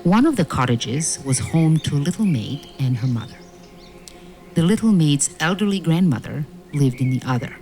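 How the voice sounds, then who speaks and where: A woman narrating a fairy-tale audio play in a measured, solemn storytelling manner. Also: deep voice, expressive, mellow, slight background noise. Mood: gentle storytelling. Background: music.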